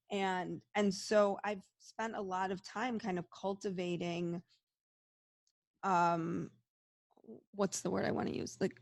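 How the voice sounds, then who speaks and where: A woman's voice: on the low side, creaky, dry recording, studio quality. A middle-aged woman speaking openly and reflectively as an interview guest, often restarting her sentences. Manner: open, reflective, many restarts. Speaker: interview guest, psychologist and medium. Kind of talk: casual interview talk.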